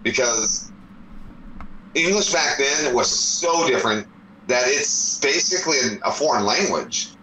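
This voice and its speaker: A man's voice: mid-range voice, lively intonation, a little slow, pushed and bright, a little hiss or hum. A man in his forties in a casual debate chat, explaining his points at length. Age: 40s. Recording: roomy echo.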